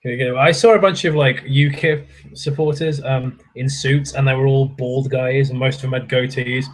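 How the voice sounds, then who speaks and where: Husky sweary streamer ranting casually. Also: male voice, medium pitch, fairly even intonation, normal speed, low-quality recording. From England. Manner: sweary, ranting, laughs often. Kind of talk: casual chat with viewers.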